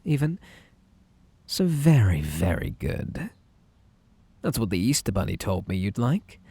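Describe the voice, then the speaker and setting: A man playing a possessive, unhinged magical bunny boy in an ASMR roleplay, with a British accent, a breathy voice and a theatrically polite, coaxing, menacing manner. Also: medium pitch, dark tone, dry recording, exaggerated voice.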